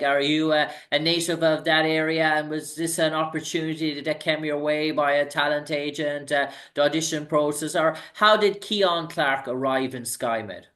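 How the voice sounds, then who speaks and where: Rambling interviewer with a bright voice. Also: male voice, fairly high pitch. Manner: rambling, hesitant questions with many "uh"s. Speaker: interview host. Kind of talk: interview questions.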